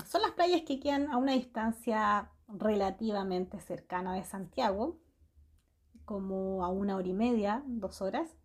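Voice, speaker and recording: Woman, Chilean accent, radio host, cheerful spiritual monologue, slightly high voice, frequent tag questions. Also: a little mellow. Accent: Chilean Spanish. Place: small house in a hillside forest.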